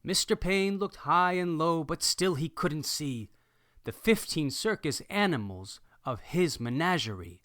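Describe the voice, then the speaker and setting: A man reading a rhyming picture book aloud with an expressive sing-song rhythm. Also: a little high, unhurried, no echo, studio quality. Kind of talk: rhyming read-aloud narration.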